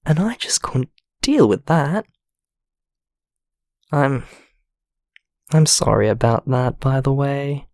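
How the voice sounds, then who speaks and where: Lonely, apologetic man played by a male actor for audio drama; hesitant confessional delivery, slow and dry, close-sounding. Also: medium pitch, big pitch swings, a little mellow, dry recording. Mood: apologetic, grateful. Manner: hesitant, halting, confiding. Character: vulnerable, lonely man named Ricardo, apologetic and grateful. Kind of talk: naturalistic audio drama voicemail monologue.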